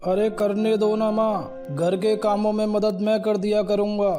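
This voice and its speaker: A man's voice: high-pitched, level tone, unhurried, over music. A man voicing an earnest young husband devoted to his mother in a moral story. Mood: supportive. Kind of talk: animated Hindi moral story dialogue.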